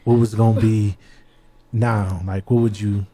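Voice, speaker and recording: A man co-hosting a relaxed studio podcast, talking loose and slangy. He keeps chiming in with quick agreement while the others talk. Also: on the low side. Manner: constant backchannel agreement, slangy. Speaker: podcast co-host. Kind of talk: casual interview chat.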